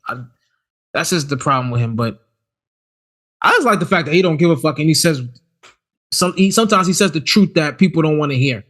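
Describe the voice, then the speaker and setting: A man's voice: on the high side, fairly quick, pauses often. A man co-hosting a podcast, chatting casually and animatedly, often restarting his sentences. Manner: animated, frequent restarts and stammers. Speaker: podcast co-host. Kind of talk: casual chat.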